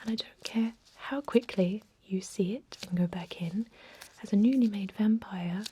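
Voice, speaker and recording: A woman playing a thousand-year-old vampire medic in an ASMR role-play, whispering breathily in a soothing, unhurried manner with murmured hums. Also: medium pitch, darkish tone, a little hiss or hum, lightly acted, low-quality recording. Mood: gently scolding.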